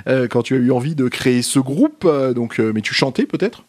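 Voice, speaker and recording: A man hosting a casual radio interview, relaxed and hesitant, with many fillers. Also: mid-range voice, fairly quick. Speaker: radio host.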